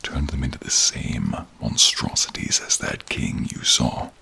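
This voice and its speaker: A man voicing a protective, caring male dragon with a deep, gravelly, breathy voice, speaking slowly, solemnly and formally in a fantasy audio roleplay. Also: expressive, pushed and bright, full character voice. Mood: grave, troubled. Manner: slow, solemn, formal, dramatic pauses. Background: rain and cave ambience.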